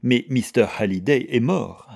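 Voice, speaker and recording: A man voicing a serious, indignant young woman with a pleading, forceful manner in an audiobook. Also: lowish voice, unhurried, dry recording, clean studio sound, lightly acted. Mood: impatient. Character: earnest, indignant, impatient young woman protecting her friend.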